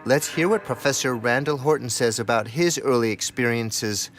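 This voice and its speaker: A man's voice: medium pitch, normal speed. A man narrating a radio documentary from a script. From Germany. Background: music, trumpet.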